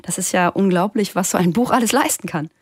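A woman hosting a radio call-in show, moderating callers and guests in a friendly, brisk manner. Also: mid-range voice, slightly rough, no room sound. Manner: friendly, brisk, lots of affirming mhm. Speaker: radio host.

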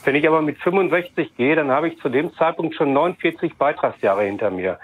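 A man's voice: fairly high pitch, a little fast. A man in his fifties calling in to a radio talk show, blunt and indignant, with many fillers. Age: 50s. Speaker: caller. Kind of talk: call-in radio comment. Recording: tinny phone line.

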